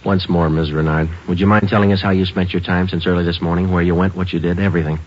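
A man's voice: low voice, flat delivery, quick pace. An actor playing a terse, matter-of-fact male police detective who also narrates, in a 1950s radio drama. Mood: stern, probing. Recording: dull old radio broadcast.

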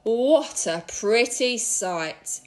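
A woman's voice: a little high, lively intonation, drawn-out words, darkish tone. A woman voicing a nasty, gloating husband who speaks with mock-sweet concern in a children's storybook. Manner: mock-sweet, exclaiming, drawn out.

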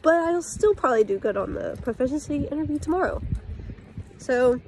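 A young woman in college chatting casually to her phone camera in a relaxed vlog, upbeat and full of fillers. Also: high voice, brisk, slight background noise, rough recording. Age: young adult. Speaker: college student vlogger. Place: dorm room and outdoors. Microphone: phone held at arm's length.

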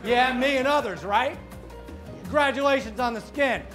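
Pushy high-voiced man shouting street compliments. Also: normal speed. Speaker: comedy street prankster. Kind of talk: street prank, loud mock compliments. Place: busy city sidewalk outdoors. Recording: outdoor street noise with music and laughter.

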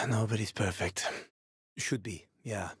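A man's voice: on the low side, slow, a bit bright, husky, no room sound, studio quality, heavily acted. An actor playing a roguish, wisecracking male survivor in a video game, talking in a casual, teasing, sweary way. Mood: regretful, subdued.

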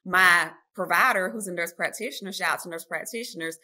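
A woman's voice: lowish voice, brisk, bright, forceful tone. A woman, chatting about her nursing career as an interview guest over a video call. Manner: friendly, talkative, addresses listeners as y'all. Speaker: guest nurse writer. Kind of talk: casual interview storytelling. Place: home room, video call. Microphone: webcam or laptop mic on video call.

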